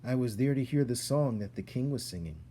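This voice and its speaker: A man's voice: mid-range voice, animated, brisk, dark tone, a little hiss or hum, pitched above the performer's own voice, close to an everyday voice. A man voicing a small, meek young male mouse, polite, hesitant and earnest, in a storybook.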